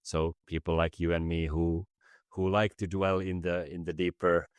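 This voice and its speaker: A man from Finland, a native Finnish speaker, reflecting slowly as a podcast guest. His voice is deep and flat in tone, and he stumbles over and repeats words as he searches for ideas. The sound is dry, with no room echo. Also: clear, resonant tone. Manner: halting, many word repeats, thoughtful. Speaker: podcast guest, business facilitator. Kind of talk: reflective conversation.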